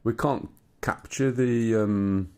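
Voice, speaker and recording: A man with a hoarse, rumbly voice, chatting casually as a livestream host while he thinks aloud over a map. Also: slow, mellow. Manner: muses aloud to himself while checking a map. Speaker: streamer. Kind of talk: casual gaming livestream chat.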